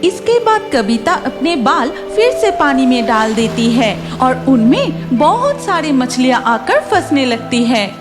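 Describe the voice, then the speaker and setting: Female story narrator, high voice, unhurried pace, scripted cartoon narration, music behind. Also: big pitch swings.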